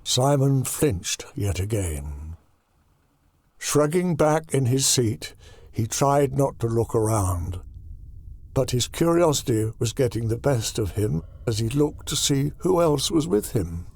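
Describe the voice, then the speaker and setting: A man narrating a horror audiobook in a deliberate, dramatic storytelling style. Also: medium pitch, big pitch swings, gravelly, a little mellow, no room sound.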